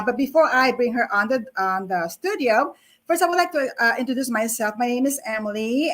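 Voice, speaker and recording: Higher-voiced woman hosting an interview. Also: a bit bright. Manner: deliberate, welcoming, many fillers. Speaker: online talk show host.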